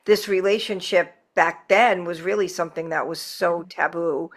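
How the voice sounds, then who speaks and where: Female podcast host, slightly low voice, informal interviewer in easy conversation with guests. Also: steady tone, normal speed, a crowd in the background.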